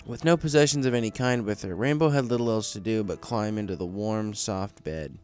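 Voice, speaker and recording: A man's voice: lowish voice, fairly quick, darkish tone, soft background music. A man reading a story aloud as a scripted narrator, in a storybook style. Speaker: fan fiction story reader.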